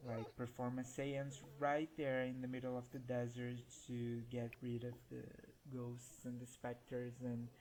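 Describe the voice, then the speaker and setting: A man's voice: medium pitch, a little mellow, rough recording. A man whose first language is Portuguese, giving laid-back gameplay commentary and chuckling often as he talks. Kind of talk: casual let's-play commentary.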